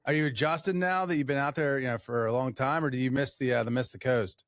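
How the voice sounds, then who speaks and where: A man's voice: mid-range voice, fast, a bit bright, no echo, clean studio sound. A man hosting a podcast, welcoming listeners and asking a guest questions in an easygoing way. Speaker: podcast host. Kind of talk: casual chat.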